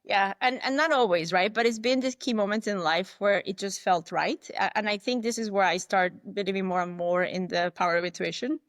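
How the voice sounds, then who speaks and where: A woman's voice: medium pitch, a little fast, hardly any pauses, dry recording, clean studio sound. A woman whose first language is not English, a guest telling her story in a candid, thoughtful way, often restarting and quoting herself. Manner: candid, thoughtful, frequent restarts and self-quotes. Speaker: interview guest. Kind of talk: reflective conversational storytelling.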